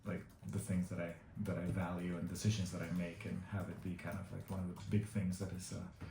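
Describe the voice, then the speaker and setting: A man's voice: deep voice, little pitch movement, a little fast, vocal fry. A man in his thirties from Guatemala, answering interview questions casually, with many fillers and restarts. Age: 30s. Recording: echoey room with faint noise.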